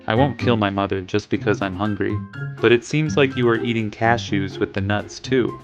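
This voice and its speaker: A woman voicing a mighty, proud male lion in a storybook tale, speaking in a lowered, growly voice, heard dull and distant over music. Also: moderate pace. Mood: hungry, doubtful. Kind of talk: storybook narration.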